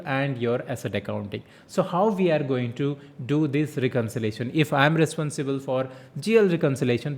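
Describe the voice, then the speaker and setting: A man with an Indian accent giving an explanatory software tutorial as an instructor, posing questions and answering them himself, often repeating phrases. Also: mid-range voice. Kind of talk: explanatory tutorial lecture with interview tips.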